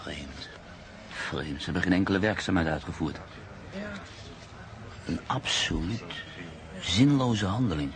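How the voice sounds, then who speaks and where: Male journalist-narrator, wry and reflective, formal phrasing, very deep voice, radio drama. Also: a crowd in the background. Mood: pensive. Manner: measured, reflective, formal wording. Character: cultured, world-weary male journalist and writer, calmly ironic. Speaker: lead actor and first-person narrator.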